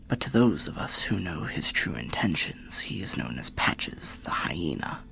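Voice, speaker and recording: Guy doing wary old undead soul telling fantasy asmr tales, half whispered, super swoopy pitch, gravelly and confiding. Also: a bit bright, full character voice. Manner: slow, confiding, old-fashioned storyteller phrasing. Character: weary undead storyteller by a bonfire, wry, cautious. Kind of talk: ASMR fantasy roleplay monologue.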